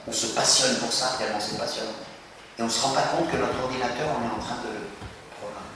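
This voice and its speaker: A man giving an animated talk to a school audience, questioning them and acting out little scenes. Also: medium pitch. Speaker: speaker addressing students. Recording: echoey hall from far off, noisy.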